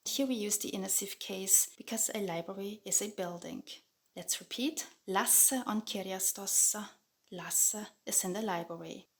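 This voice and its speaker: A woman giving a scripted grammar lesson voice-over, speaking clearly and at a measured pace, with slow repetition of example sentences. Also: medium pitch. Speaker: Finnish language teacher.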